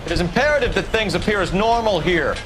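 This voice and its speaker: A man acting a stern, driven police detective questioning suspects in a video game drama, forceful and accusatory. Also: high-pitched, expressive, quick pace, noisy background. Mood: firm.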